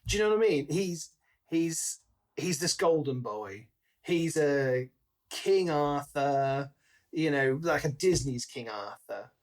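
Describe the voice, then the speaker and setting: A man's voice: a little high, lively intonation, slow pace. A man co-hosting a podcast, discussing a book casually and conversationally, slipping into paraphrased quotes. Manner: conversational, acts out imagined quotes. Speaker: podcast co-host.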